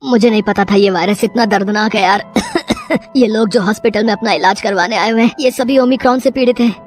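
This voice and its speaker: A woman's voice: medium pitch, a little fast, lightly acted. A woman acting a sick, regretful young man with a cough in a children's story. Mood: suffering, regretful.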